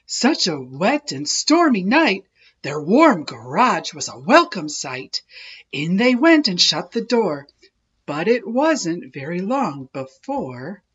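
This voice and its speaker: A woman narrating a children's picture book in an expressive, measured storytelling way. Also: lowish voice, slightly rough, dull audio. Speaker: children's read-aloud host.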